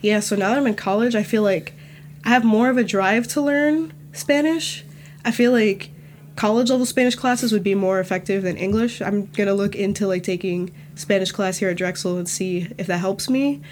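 A nineteen-year-old woman talking casually on a podcast, relaxed and full of "like" and "you know" fillers. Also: medium pitch, moderate pace, slight background noise. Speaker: student guest.

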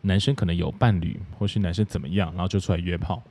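A man's voice: sits low, steady tone, fast, a little mellow, slightly rough. A man with a Taiwanese Mandarin accent hosting a podcast in a casual, frank chat style. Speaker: podcast host.

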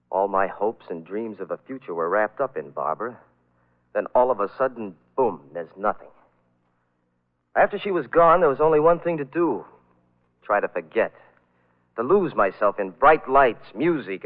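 A man's voice: medium pitch, phone-quality sound, lightly acted. An actor playing a bitter, self-pitying young man who narrates his own downfall in old-time radio thriller style. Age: young adult. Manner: brooding, dramatic, self-pitying. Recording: band-limited vintage radio recording.

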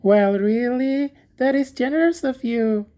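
A woman's voice: on the high side, unhurried, dark tone, voice pushed up, natural voice. A woman voicing a small, kind, poor young male gardener who talks eagerly and humbly, in a storybook reading. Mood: humble, grateful.

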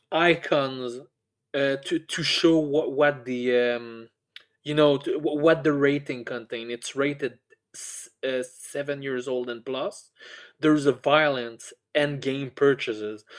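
A man hosting a casual video game podcast, stammering and repeating words often, swearing freely. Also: a little high. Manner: casually foul-mouthed. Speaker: podcast host. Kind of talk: casual chat about video games.

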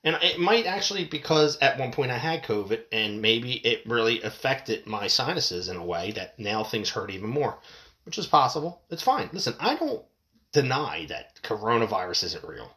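A man's voice: medium pitch, big pitch swings, moderate pace, sharp tone. Sniffly, ranting man swearing freely. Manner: heated, swearing, rambling with restarts. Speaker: commentator. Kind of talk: profane rant reacting to news.

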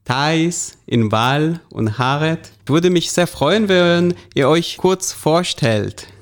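A man moderating a radio panel, hesitant and filling pauses. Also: a little high, lively intonation. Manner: hesitant, with frequent fillers.

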